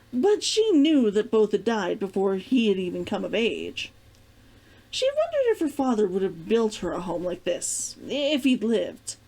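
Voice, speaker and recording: A woman reading fiction aloud as an expressive storybook narrator. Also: fairly high pitch, low-quality recording. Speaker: reader of a fiction read-along.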